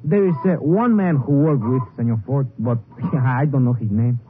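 An actor playing an eager, deferential Mexican ranch laborer with a Mexican accent and halting, repetitive English in a 1950s radio western. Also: male voice, mid-range voice, lightly acted. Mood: eager, polite. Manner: hesitant, repetitive, broken English. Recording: tinny, noisy 1950s radio recording.

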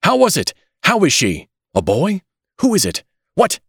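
A man acting a frantic, high-pitched Southern gentleman. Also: huge pitch swings, a little slow, gravelly, slightly rough, no echo, studio quality, full character voice. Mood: frantic, pleading. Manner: breathless, pleading, agitated. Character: frantic, nervous Southern gentleman, new father. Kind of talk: audiobook character acting.

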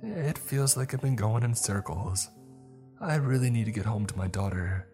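A man's voice: lowish voice, animated, moderate pace, dark tone, soft background music, voice pushed up, close to an everyday voice. A man voicing a jittery woman who is lost in a graveyard at night, nervous and apologetic. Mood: anxious.

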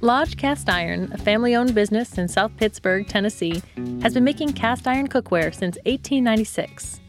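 A woman's voice: on the high side, lively intonation, normal speed, music in the background. A woman announcer delivering a scripted podcast sponsor read.

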